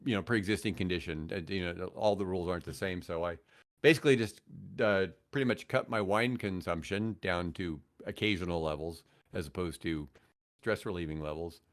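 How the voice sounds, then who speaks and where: A man's voice: low voice, fairly quick. An older man telling stories casually as a podcast guest, in a joking, rambling way. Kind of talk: casual chat, storytelling.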